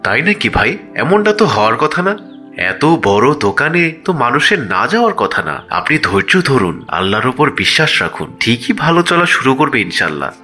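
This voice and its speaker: Male, mid-pitched and bright, as a kindly, pious cartoon shopkeeper; polite, earnest, reassuring. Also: big pitch swings, a little fast, soft background music. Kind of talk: cartoon voice acting.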